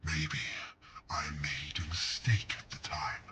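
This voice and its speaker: A man voicing an immortal brother turned dark lord, speaking in a hoarse whisper, slow, solemn and threatening, for a game mod. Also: rumbling low, heavily acted. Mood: grim. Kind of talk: fan voice acting for a game mod. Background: loud music.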